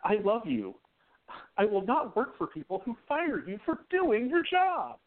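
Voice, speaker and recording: A man's voice: high voice, huge pitch swings, measured pace, exaggerated voice. A man playing a devoted young newspaperman in a 1920s radio romance, speaking in an emphatic, romantic way. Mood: ardent. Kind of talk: radio play acting. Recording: tinny phone-like line.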